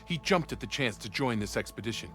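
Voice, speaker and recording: A man's voice: mid-range voice, animated, fast, a bit bright, close to an everyday voice. An actor playing a formal, anxious male weapons officer in a video game, earnest and deferential in his manner. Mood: earnest, sorrowful. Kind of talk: video game cutscene acting. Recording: music behind the dialogue.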